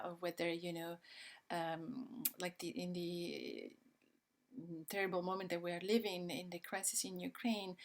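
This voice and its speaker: A woman with an Italian accent, a guest in an interview, answering thoughtfully with many pauses, ums and restarts. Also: on the low side, fairly bright tone. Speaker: guest, systems thinking educator.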